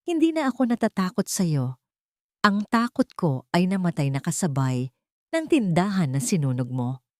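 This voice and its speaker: A woman voicing a defiant, long-suffering wife in an audio drama, soft-spoken but sharp-edged. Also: fairly low pitch, very animated, normal speed, dry recording, clean studio sound. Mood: defiant, cutting.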